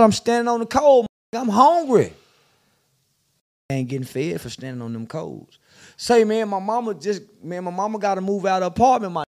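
A man's voice: high-pitched, a little mellow, voice pushed up. A man voicing a loyal but fed-up young jailed gang member, pleading and aggrieved in street slang.

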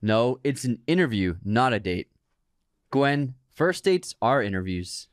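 A man co-hosting a casual movie podcast, reading a sponsor spot briskly and joking with his co-hosts. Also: mid-range voice, very animated, no echo, studio quality. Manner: reads the sponsor spot briskly, then jokes and laughs. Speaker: podcast co-host.

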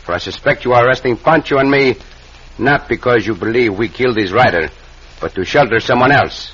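An actor playing a gallant, confident Mexican cowboy hero with a Mexican accent and courteous, formal phrasing in an old radio western. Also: male voice, medium pitch, fairly quick, a bit bright, natural voice. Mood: calm, defiant. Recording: muffled vintage radio with faint hiss.

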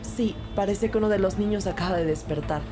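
A woman playing a brisk police detective who narrates her own case in a scripted audio drama with measured delivery. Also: medium pitch, close to an everyday voice. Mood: serious, focused. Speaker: narrator and lead actor. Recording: loud music bed under voice. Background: loud music.

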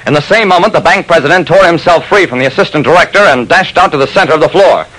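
A man's voice: on the high side, fast talker, a bit bright, poor audio. A man narrating a classic radio detective drama as its lead, in a wry, dramatic first-person storytelling manner.

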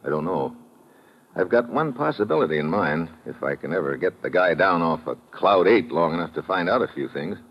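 A man's voice: sits low, expressive, brisk. An actor playing a tough, wry American adventurer who narrates his own case in a 1950s radio drama, sardonic and clipped. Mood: guarded. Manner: hard-boiled, sardonic, clipped. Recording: muffled vintage radio recording.

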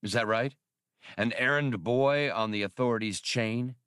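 A man's voice: medium pitch, a little slow, a bit bright, clear, resonant tone, no echo, studio quality, close to an everyday voice. A man voicing a brash, skeptical smuggler hero in an audiobook, with a blunt, challenging way of talking. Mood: scornful.

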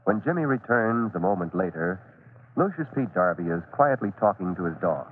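A man's voice: low voice, animated, fairly quick. A man narrating an old radio serial as its announcer, reading scripted copy. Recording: tinny, noisy vintage radio recording.

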